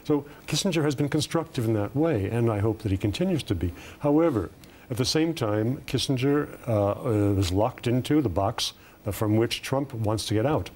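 A man in his seventies giving political commentary as a panel guest, deliberate and formal with hesitant pauses. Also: medium pitch, lively intonation, a little mellow, slight background noise. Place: television studio round table.

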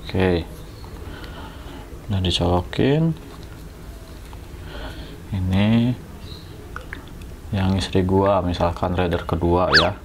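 Male vlogger, lowish voice, West Java Indonesian accent, informal jokey tutorial chat, noticeable room noise behind him. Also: steady tone, slow pace, pauses often. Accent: Sundanese-region Indonesian. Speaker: motovlogger. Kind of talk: casual hands-on tutorial chat. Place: indoors at home.

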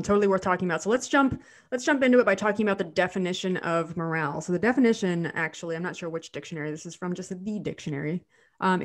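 Nasal woman with a cold, chatty. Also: on the low side. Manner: chatty, animated, frequent restarts. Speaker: podcast co-host, dental hygienist. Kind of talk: casual podcast chat. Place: home living room. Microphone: studio mic on video call.

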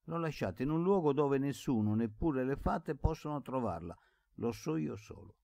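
A man's voice: lowish voice, expressive, a little slow, dark tone, no room sound. A man voicing a mischievous young servant boy in a storybook, taunting and playful in a sing-song way. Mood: secretive, cheeky. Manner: taunting, sing-song, imitates bells and barking.

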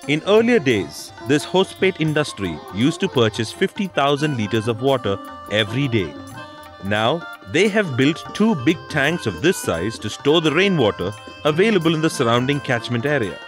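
A man's voice: mid-range voice, big pitch swings, normal speed. A man reading scripted instructional narration for a documentary. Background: background music.

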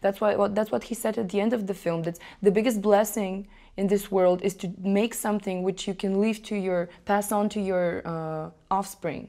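A young woman from Bulgaria, a film director, talking thoughtfully with some quick bursts. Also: medium pitch, steady tone, mellow. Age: young adult. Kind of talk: interview. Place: indoor room with piano.

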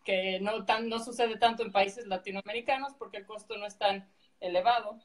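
A woman with a Mexican accent explaining things casually and in a measured way as a guest on a video call. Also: mid-range voice, steady tone.

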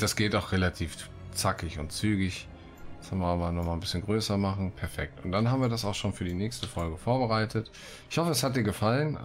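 Deep-ish guy doing casual gaming commentary, jokey slangy asides, bit of music under it. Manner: playful, colloquial asides. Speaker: gaming video host. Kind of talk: casual let's play commentary, reading quest text aloud.

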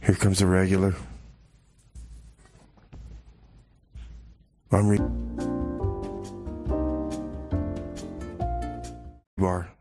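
A man's voice: low-pitched, completely flat delivery, fast talker, over music, natural voice. An American man hosting a radio show, playing a laid-back, skeptical DJ in comic banter and song announcements. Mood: easygoing announcing.

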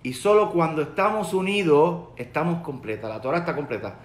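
A man's voice: fairly high pitch, a little fast. Man with a Puerto Rican Spanish accent, rabbi, earnest repetitive teaching, webcam in a roomy study. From Puerto Rico. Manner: earnest teaching, repeats key points, gestures with hands. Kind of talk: Torah lesson to camera. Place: home study with bookshelves, indoors. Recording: roomy echo.

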